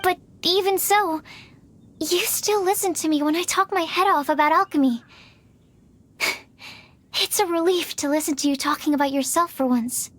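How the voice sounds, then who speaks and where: A woman's voice: very high voice, slight background noise. An actress playing a shy, earnest, bookish young woman alchemist in a video game, speaking hesitantly and awkwardly. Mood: earnest, reassuring. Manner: hesitant, soft-hearted, halting phrasing.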